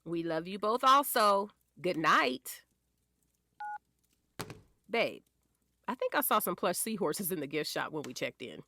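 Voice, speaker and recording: A woman's voice: fairly low pitch, very animated, measured pace, creaky, long pauses, sharp tone, dry recording, heavily acted. A woman playing a doting but firm wife and mother in her forties, teasing and sassy, in a naturalistic audio drama. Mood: affectionate.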